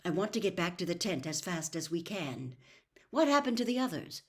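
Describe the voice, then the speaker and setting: A woman voicing a tired, sensible father in a storybook, quietly firm with some tension in the delivery. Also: fairly low pitch, very animated, a little fast. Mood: tense urgency. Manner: quiet, firm, somewhat tense.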